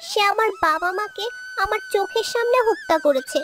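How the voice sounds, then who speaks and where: A woman's voice: very high-pitched, wildly swooping pitch, normal speed, a bit bright, slight background noise, heavily acted. A woman voicing an earnest, helpful young fairy trapped as a bird in a children's fairy tale cartoon. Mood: sorrowful, earnest.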